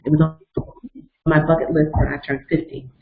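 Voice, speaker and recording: A woman's voice: low voice, flat delivery, brisk. A woman in her fifties leading a motivational webinar, explaining step by step and telling personal stories in an earnest way. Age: 50s. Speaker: webinar facilitator and coach. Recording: echoey, phone-like narrow sound.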